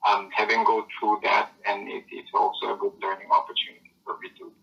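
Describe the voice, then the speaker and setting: A man's voice: lowish voice, little pitch movement, fairly quick, gravelly, recorded from a distance. A man, a nurse, answering interview questions over a call, often repeating words while he searches for them. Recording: muffled lo-fi call audio.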